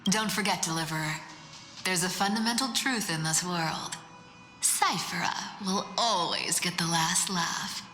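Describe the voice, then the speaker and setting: A woman's voice: lowish voice, unhurried, sharp tone. An actress playing a teasing female trickster demigod in a game, with a sly, brash, playful way of talking. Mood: smug. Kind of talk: dramatic game voice acting. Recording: echoey reverberant hall, music behind.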